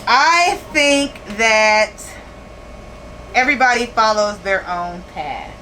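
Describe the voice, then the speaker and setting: A woman's voice: fairly high pitch, animated, slow pace, pushed and bright, slight background noise, low-quality recording. A woman in her thirties, a business owner talking candidly in a casual interview, often repeating phrases and laughing easily. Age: 30s. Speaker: store owner interviewed as guest.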